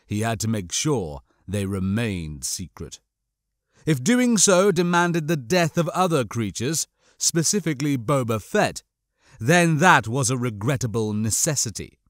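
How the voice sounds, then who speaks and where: A man reading a science fiction audiobook as scripted, expressive narration. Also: medium pitch, measured pace, dry recording, studio quality.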